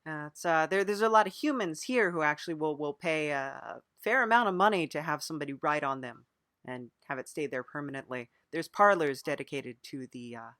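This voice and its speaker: Podcast interviewer for improvised mock interview: medium pitch, earnest and hesitant questioning, dry sound. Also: fairly quick, pushed and bright, dry recording. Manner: hesitant, earnest questioning with frequent murmured acknowledgements.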